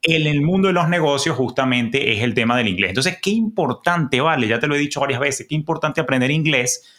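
A man with a Venezuelan accent hosting a personal finance podcast, talking in a lively, colloquial, playful way. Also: on the high side, fairly quick. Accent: Venezuelan Spanish. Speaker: podcast host.

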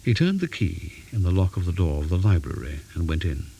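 A man reading a scripted ghost story in a measured, dramatic way, pausing for effect. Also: low-pitched, very animated, gravelly, mellow, husky. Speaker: story reader. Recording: faint background hiss.

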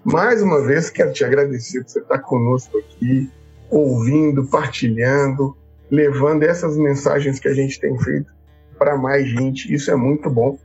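A man's voice: mid-range voice, normal speed, a little mellow, slightly rough, faint music behind. A man hosting a podcast, greeting listeners and introducing guests in a friendly, welcoming way. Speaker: podcast host. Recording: dull lo-fi recording.

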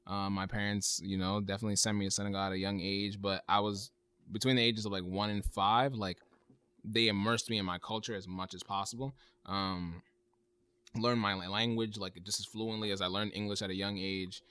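A young man hosts a casual podcast chat in a low voice. His talk is hesitant and full of "um" and "like". The sound is dry, with no room echo. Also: little pitch movement, fairly quick, pushed and bright, clean tone. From United States. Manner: hesitant, many "um" and "like" fillers. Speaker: podcast host.

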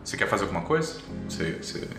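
A man's voice: deep voice, sing-song, racing, a bit bright. A man with a Brazilian accent improvising tabletop RPG narration as game master, setting scenes piece by piece. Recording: roomy echo, music underneath. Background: background music.

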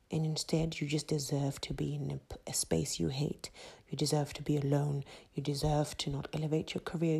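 Low, gravelly, breathy woman host. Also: warm tone. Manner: emphatic, repetitive, preachy. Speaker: podcast host. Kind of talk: solo motivational podcast monologue.

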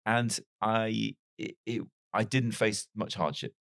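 A man's voice: on the low side, fairly even intonation, slow pace, vocal fry, no echo, studio quality. A man in his forties who grew up in North Wales, with a mild British accent that is not strongly Welsh, telling his life story in a casual interview. He talks in a reflective, wry, self-deprecating way. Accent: mild British English, not strongly Welsh. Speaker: podcast guest.

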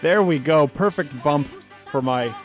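A young adult man hosting a political talk radio show, talking fast and argumentatively with frequent asides. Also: a little high, music in the background. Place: radio studio.

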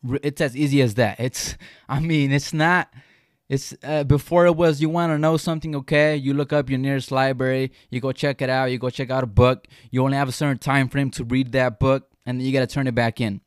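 A young man who grew up speaking Spanish hosts a relaxed podcast, making his points with earnest emphasis. He often restarts his sentences. His voice sits in a middle pitch and sounds dry, with no room echo. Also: brisk, smooth. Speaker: podcast host. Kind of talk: casual podcast conversation.

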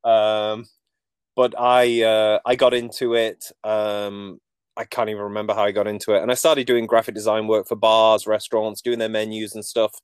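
Man, 40s, Manchester accent, smooth resonant voice, dry close sound, jokey self-mocking chat. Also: on the low side, flat delivery, moderate pace, dry recording. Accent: Manchester English. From England. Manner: jokey, self-deprecating storytelling. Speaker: podcast guest. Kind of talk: casual interview chat.

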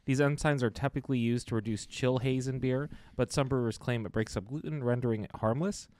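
A man's voice: medium pitch, fairly even intonation, brisk, a little mellow, dry recording. A young man, the podcast's producer, explaining things and reading facts aloud in a small studio. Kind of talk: casual podcast chat. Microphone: studio microphone.

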